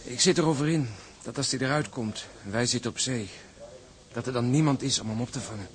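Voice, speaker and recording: A man's voice: mid-range voice, fairly quick, lightly acted. An actor playing a loyal, worried seaman in a Dutch audio drama, earnest, blunt and colloquial.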